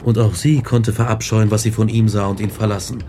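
An actor in a radio drama playing a haunted, despairing scientist who tells his story in a grave, measured way. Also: lowish voice, little pitch movement, dark tone, a little hiss or hum, natural voice.

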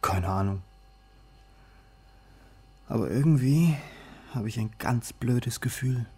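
An actor playing a worried young male student in a radio crime play, talking in an uneasy, colloquial way with a husky voice. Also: mid-range voice, animated, slow, a little mellow, full character voice. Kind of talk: German radio play dialogue.

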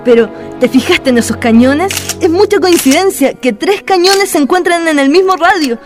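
Woman acting keen polite student girl, high voice, radio play w faint music. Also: expressive, moderate pace. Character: polite, keen young female history student. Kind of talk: amateur educational radio drama.

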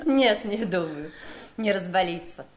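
Wistful, girlish, rambling gentlewoman. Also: on the high side, heavily acted. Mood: gentle musing. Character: Birdie, wistful, nervous Southern gentlewoman, tipsy and nostalgic. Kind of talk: Soviet radio drama acting.

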